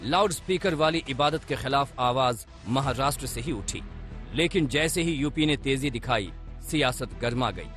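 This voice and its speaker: A man's voice: medium pitch, animated, normal speed, fairly bright tone. A man reading a scripted news report as a voice-over, with dramatic, punchy delivery. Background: background music under voice-over.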